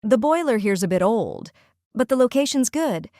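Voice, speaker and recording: A woman plays a brisk, chatty real estate agent, talking up a place with an upbeat sales patter. Her voice sits fairly high, and the sound is close and dry with no room around it. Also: sing-song, dark tone, dry recording. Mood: upbeat, persuasive. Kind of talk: audio drama acting.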